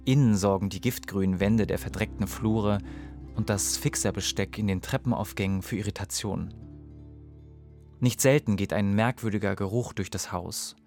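A man narrating a documentary voice-over in a calm, measured way. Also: lowish voice, faint music behind.

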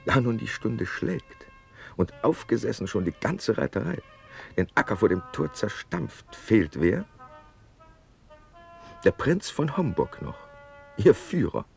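A wry young nobleman in old verse radio play, low gravelly voice, really lively intonation, declaiming. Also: slow pace, pauses often, faint music behind, full character voice. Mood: reporting, urgent. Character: witty young nobleman, loyal count at a Prussian court. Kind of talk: classical verse radio drama acting.

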